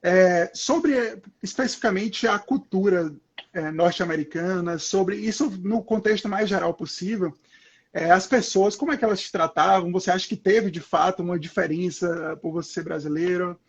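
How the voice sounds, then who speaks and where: A man's voice: sits high, normal speed, breathy edge, dull audio. A Brazilian man hosting a live interview, asking long, hesitant questions full of fillers. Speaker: interviewer, professor. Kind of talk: casual interview over a live video call.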